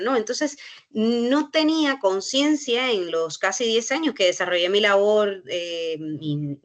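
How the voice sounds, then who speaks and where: A woman from Cuba with a Cuban accent, a university researcher speaking on a workshop panel, thinking aloud and pausing often as she searches for words. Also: a little high, a bit bright. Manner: thoughtful, searching for words with many pauses and fillers. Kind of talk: reflective panel talk.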